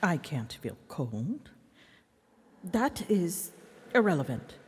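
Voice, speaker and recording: A proud, prickly ancient she-dragon, acted by a woman for an ASMR roleplay. She speaks very slowly and formally, with halting pauses and swooping pitch, guarded tenderness under the haughtiness. The voice often sinks toward a whisper and rings in a long reverb. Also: very animated. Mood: bashful, defensive. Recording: echoey, reverberant, faint background ambience.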